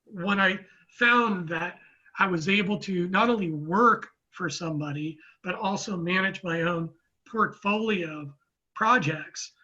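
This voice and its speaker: A man in his fifties from Oakland, a guest telling his story in a rambling, measured way with many restarts. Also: sits high, sharp tone, highs cut off. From Oakland, California, US. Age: 50s. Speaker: guest, real estate economist. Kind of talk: casual interview talk.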